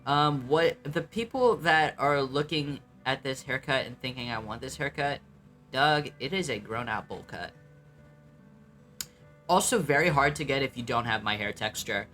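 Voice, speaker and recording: A young man with a light voice carrying some bass, reacting to his old videos in casual talk, blunt and self-mocking, swearing freely and filling pauses with ums. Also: animated, bright, forceful tone, soft background music. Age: young adult.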